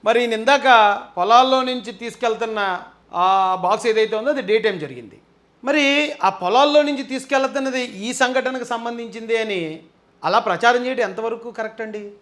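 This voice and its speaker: A man's voice: very high voice, fairly bright tone. A man giving impassioned live political commentary, emphatic and argumentative, putting rhetorical questions to viewers.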